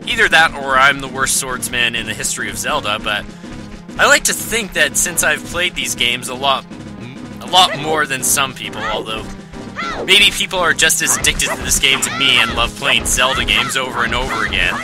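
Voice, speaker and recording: A man's voice: mid-range voice, expressive, moderate pace. A man giving playful, sarcastic commentary while playing a video game. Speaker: let's play host. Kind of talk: jokey gameplay commentary. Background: game music.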